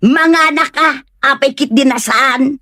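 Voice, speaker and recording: A woman playing a poor, lonely, plaintive wife mocked for her looks in an Ilocano comedy drama, exclaiming in drawn-out phrases. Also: high voice, bright, forceful tone, no room sound, clean studio sound. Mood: defensive, proud.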